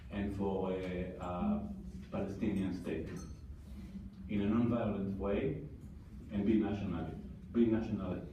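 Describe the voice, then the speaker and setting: A man with an Israeli accent from southern Israel, giving earnest, halting testimony as an activist at a parliamentary hearing, with frequent fillers and restarts. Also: on the low side, background noise. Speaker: activist speaker. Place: large parliamentary hearing room. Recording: distant, echoey, muffled room recording.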